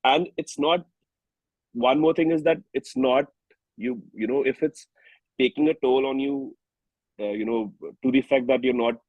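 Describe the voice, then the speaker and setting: A man with an Indian accent speaking reflectively as an interviewed singer-songwriter, haltingly and often rephrasing himself. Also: medium pitch, darkish tone, no room sound, rough recording. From New Delhi, India.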